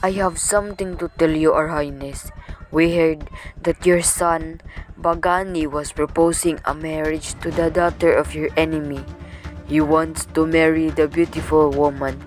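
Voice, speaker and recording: A woman's voice: sits low, steady tone, normal speed, soft background music, natural voice. A woman playing a dutiful messenger bringing urgent news to a chief.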